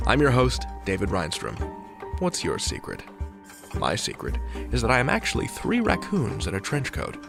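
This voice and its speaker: Gravelly podcast host over music. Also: male voice, mid-range voice, wildly swooping pitch, moderate pace. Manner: wry, playful narration. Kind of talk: scripted drama introduction. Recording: studio voice over music bed.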